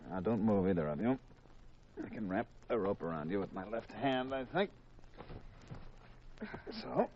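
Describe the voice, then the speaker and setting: An American actor playing a coolly menacing, hard-boiled killer in a deliberate, mock-polite manner in a 1950s radio mystery. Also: male voice, on the low side, lively intonation, darkish tone, slight background noise. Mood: controlled menace. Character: cold, confessing killer, male insurance man, coolly menacing. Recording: muffled, dull 1950s radio recording.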